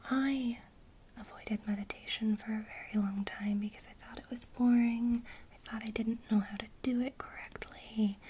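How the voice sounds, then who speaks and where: A woman whispering a gentle witchcraft lesson in ASMR style, speaking directly to the listener. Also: medium pitch, slight background noise. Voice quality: soft unvoiced whisper throughout. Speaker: ASMR creator teaching witchcraft.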